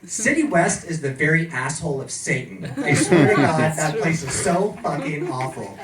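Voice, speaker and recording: A man's voice: a little high, big pitch swings, moderate pace. An American man from California performing a sardonic stand-up set in a bar's comedy club, punctuating his lines with "okay?". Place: comedy club in a bar, live audience. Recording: roomy distant club recording with crowd.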